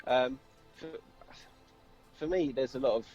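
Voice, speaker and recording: A man, a guitarist in a casual interview, speaking in a halting, plain way. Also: mid-range voice, mellow. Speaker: band guitarist being interviewed. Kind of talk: casual chat. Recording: muffled lo-fi, music behind.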